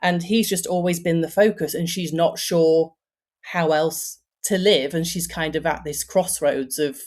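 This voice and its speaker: A woman's voice: low voice, steady tone, measured pace, a little mellow. A woman in her forties, an author, talking about her book in a casual interview, chatty with lots of fillers and restarts. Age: 40s.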